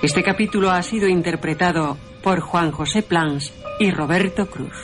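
A woman's voice: on the low side, moderate pace. A woman reading scripted radio programme credits. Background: loud music.

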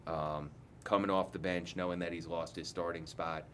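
A man's voice: deep voice, level tone, moderate pace, a bit bright, a little hiss or hum. A man in his forties, a head coach, giving candid, rambling post-game answers full of restarts, seated at a table mic in an indoor press room.

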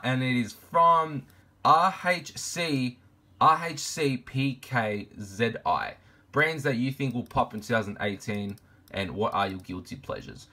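A man of twenty-two, vlogging casually to camera in his bedroom, laid-back and slangy, his voice deep from just waking up. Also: sharp tone. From Argentina, raised in Australia. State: deep morning voice, just woke up. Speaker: fashion YouTuber. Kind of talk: casual vlog Q&A. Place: bedroom with shoe shelves, indoors.

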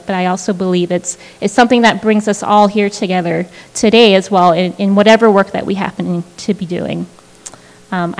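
A woman in her thirties giving an earnest, reflective acceptance speech at a podium, with frequent restarts and fillers. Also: medium pitch. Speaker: prize winner giving a speech. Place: large indoor event hall, at a podium. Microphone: podium microphone on a stand. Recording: long reverb of a large hall.

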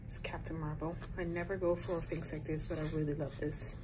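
A woman in her forties chatting casually for a vlog, pausing often between short phrases, recorded on a phone indoors at home. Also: low voice, flat delivery. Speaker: vlogger, host. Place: small rooms at home, indoors. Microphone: phone at arm's length. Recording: noticeable background room noise.

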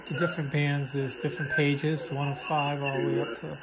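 A man's voice: a little high, level tone, fairly quick. A man giving a casual hands-on demonstration of a gadget as a hobbyist. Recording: noisy, radio static in room. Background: shortwave radio static and chatter.

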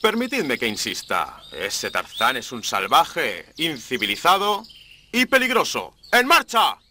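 An actor playing a greedy, contemptuous safari hunter in a cartoon, forceful and scornful. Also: male voice, medium pitch, wildly swooping pitch, unhurried, full character voice. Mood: scornful, insistent. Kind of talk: animated cartoon dub.

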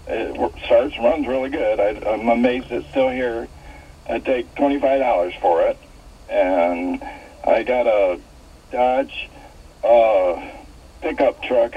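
A man's voice: medium pitch, breathy edge. A man calling a radio show by phone, casually listing items in a plain, folksy way. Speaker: caller. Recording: noisy narrow phone line over radio.

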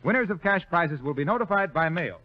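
High-pitched 1930s radio announcer man. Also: lively intonation, brisk, over loud music. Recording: muffled 1930s radio recording.

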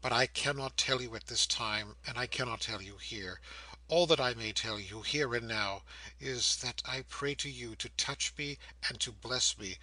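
A tender young daughter voiced by a male narrator pleads solemnly in a forward, slightly husky voice. She is gentle and devoted, repeating her entreaties like a heroine in a classic novel read aloud. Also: medium pitch, moderate pace, dry recording, low-quality recording. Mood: tender pleading. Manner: imploring, repetitive, solemn.

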